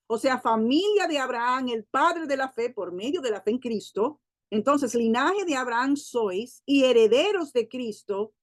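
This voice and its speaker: Dominican woman Bible teacher, fairly high voice, dry close sound, slows for scripture, quick asides. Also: no echo. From Dominican Republic. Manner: slows and lifts her voice for scripture, quick asides, frequent tag questions. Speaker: Bible teacher at a women's gathering.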